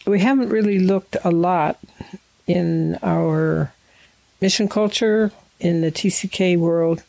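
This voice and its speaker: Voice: medium pitch, takes it slowly, vocal fry, darkish tone, no echo, rough recording. An interviewer who grew up in Nigeria, asking thoughtful, probing questions in a conversation.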